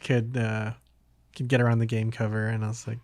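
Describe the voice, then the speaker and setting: A man hosting a podcast, talking casually about games in a hesitant, halting way. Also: medium pitch, monotone, a little mellow, no room sound, clean studio sound. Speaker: podcast host.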